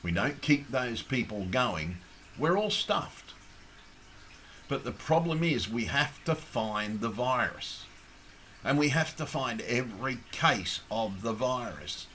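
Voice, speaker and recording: A croaky, hoarse Australian man making an impassioned, blunt appeal straight to camera, swearing heavily as he rants. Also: mid-range voice, expressive, slow, fairly bright tone, slight background noise. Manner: blunt, ranting, heavy swearing. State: hoarse, losing his voice. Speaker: activist.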